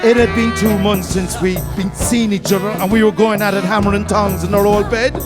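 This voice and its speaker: Man performing poetry over clear music. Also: sits high, steady tone, brisk. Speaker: poetry reader.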